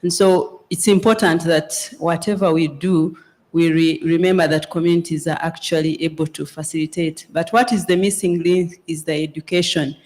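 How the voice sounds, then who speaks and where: A woman's voice: lowish voice, steady tone, darkish tone. Earnest woman at a conference. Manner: earnest, deliberate, with hesitations. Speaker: advocacy group representative. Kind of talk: conference floor comment. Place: conference hall.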